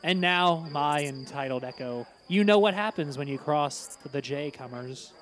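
Man acting a smug, high-pitched school gang boss. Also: unhurried, fairly bright tone. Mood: menacing, mocking. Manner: mock-courteous, taunting. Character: smug, mocking male school gang leader, theatrically villainous. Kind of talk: comedy series acting.

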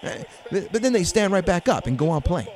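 An actor playing a gruff, pushy, opinionated man who scorns and needles, in an audio drama. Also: high voice, sing-song, fairly quick, a little mellow, rough recording. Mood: scornful ranting. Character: gruff, pushy older-brother type, scornful football fan.

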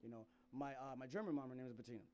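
A man's voice: mid-range voice, rapid-fire, mellow, slight background noise. A man from South Sudan telling a rambling personal story in casual chat, laughing often and restarting his sentences.

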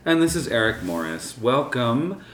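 A man's voice: mid-range voice, very animated, a bit bright, reverberant, far from the mic. A man co-hosting a podcast, talking casually with frequent restarts as he interviews a guest. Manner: halting, with many restarts and trailing off. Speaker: podcast co-host. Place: home living room.

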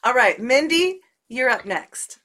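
A woman's voice: sits high, sing-song, a little slow, sharp tone. Gushing, enthusiastic woman host. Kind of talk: hosting a group video call.

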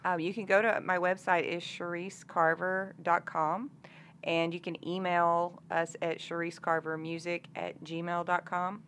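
A woman's voice: fairly low pitch, flat delivery, unhurried, a bit bright, slight background noise. A woman chatting informally as a country singer being interviewed. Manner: informal, conversational. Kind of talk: casual interview chat.

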